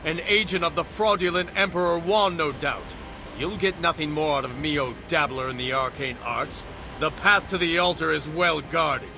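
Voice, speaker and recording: An actor playing a stern, suspicious imperial military officer in a video game, with formal, imperious, theatrical delivery. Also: male voice, a little high, moderate pace, over music, close to an everyday voice. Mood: haughty, demanding.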